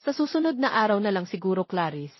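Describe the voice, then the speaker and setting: A woman's voice: mid-range voice, big pitch swings, fast talker, dry recording, highs cut off. A woman voicing a dutiful, timid young heroine in a romance story, polite and apologetic.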